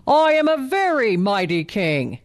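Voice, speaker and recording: A woman's voice: very animated, measured pace, no echo, studio quality. A woman doing a pompous vain lion king. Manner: booming, grand declarations.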